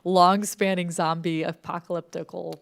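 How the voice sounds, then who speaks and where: A woman's voice: on the low side, level tone, moderate pace, vocal fry. A woman talking casually and enthusiastically as a podcast creator at a public event, often dropping in "like". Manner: informal, enthusiastic, frequent "like". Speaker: podcast creator and panel speaker. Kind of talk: casual chat at a public talk. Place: library event with audience.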